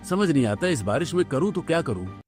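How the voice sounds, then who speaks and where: A man's voice: a little high, very animated, a little fast, soft background music. A man playing an earnest, supportive young husband in a cartoon story, speaking plainly and sincerely. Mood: worried.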